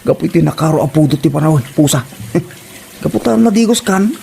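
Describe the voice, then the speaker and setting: A man's voice: a little high, lively intonation, a little fast, gravelly, warm tone, a little hiss or hum. A man acting a grumbling, put-upon village husband with an Ilocano Filipino accent and an exasperated, scolding manner in a comedy drama.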